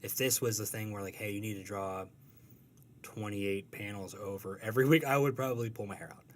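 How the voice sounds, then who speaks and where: Low-voiced man chatting casually. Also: steady tone, a little hiss or hum. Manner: self-deprecating, joking, halting. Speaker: guest, comic strip artist. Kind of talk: casual interview chat.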